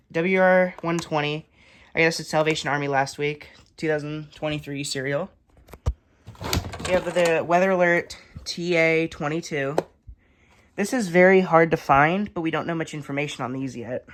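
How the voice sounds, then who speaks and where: A young man giving a casual, unscripted show-and-tell, halting and matter-of-fact as he lists items. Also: fairly high pitch. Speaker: hobbyist collector giving a tour. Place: indoors in a room, handling plastic bins. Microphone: handheld phone camera. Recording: noisy handheld recording with clattering.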